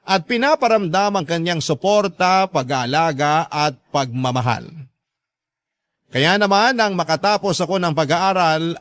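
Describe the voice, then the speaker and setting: A man's voice: fairly high pitch, a bit bright, no room sound. A man with a Filipino accent playing a devoted grown child who narrates a life story in a radio drama, earnest and sentimental. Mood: heartfelt, grateful. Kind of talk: scripted first-person narration in radio drama.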